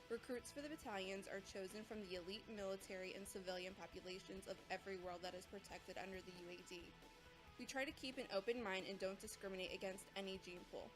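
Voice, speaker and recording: A woman playing a composed, patient academy instructor, lecturing in a measured, authoritative way as scripted drama. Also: mid-range voice, close to an everyday voice. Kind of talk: scripted audio drama dialogue.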